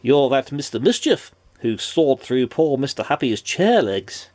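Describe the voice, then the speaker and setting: A man voicing a stern, knowing male wizard in a storybook, speaking in a measured, reproving way. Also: mid-range voice, very animated, darkish tone, dry recording.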